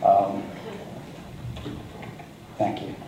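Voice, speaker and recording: A man giving a hesitant acceptance speech with many pauses and a flat, monotone delivery. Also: dull audio. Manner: hesitant, many ums, self-described monotone. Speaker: award recipient. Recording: echoey hall, distant mic, background noise.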